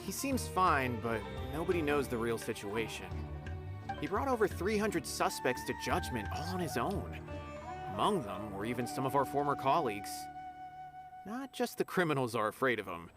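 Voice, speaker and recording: A man's voice: medium pitch, big pitch swings, normal speed, natural voice. An actor playing a friendly, dutiful young academy officer in a game, earnest and polite as he reports news. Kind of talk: game dialogue. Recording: game audio with loud music behind.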